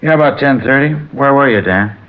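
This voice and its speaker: A man's voice: medium pitch, fairly quick, background noise, lightly acted. An American man acting a friendly, folksy coffee-shop regular in a 1950s radio drama. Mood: friendly, chatty. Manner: folksy, chatty. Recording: muffled old radio recording.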